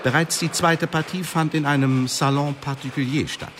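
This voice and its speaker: An actor playing a cold, sardonic Elizabethan secret-service interrogator in a German radio drama, speaking in a pedantic, condescending, formal way. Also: male voice, fairly high pitch, steady tone, moderate pace, background noise, close to an everyday voice. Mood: coolly menacing.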